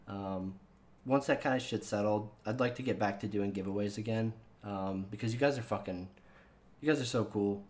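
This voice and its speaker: A man's voice: fairly low pitch, normal speed, a little mellow. A man hosting his own channel in casual chat with viewers, rambling and swearing with lots of fillers, his voice sounding younger than he is. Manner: rambling and profane, with many fillers. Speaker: channel host.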